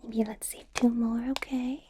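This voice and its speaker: A woman's voice: a little high, level tone, measured pace, noisy background, lightly acted. A woman whispering as a possessive, menacing female vampire boss in an ASMR roleplay, sweet on the surface with a threatening edge. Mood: sweetly menacing. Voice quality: soft unvoiced whisper.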